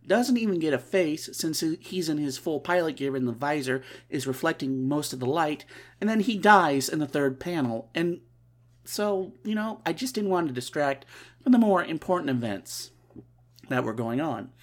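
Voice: mid-range voice, lively intonation, moderate pace. Nasal, stuffed-up podcast host. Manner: wry, sarcastic asides. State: stuffy nose. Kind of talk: casual comic recap.

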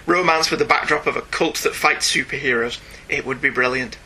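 A man's voice: medium pitch, brisk, pushed and bright, husky. A chatty, enthusiastic man co-hosting a podcast, talking casually and reading out listener emails. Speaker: podcast co-host. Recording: faint background noise.

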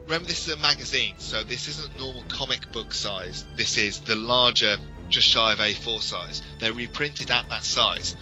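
A man's voice: medium pitch, moderate pace, few pauses, over music, low-quality recording. A British man co-hosting a podcast, giving detailed, enthusiastic, informal explanations. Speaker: podcast co-host.

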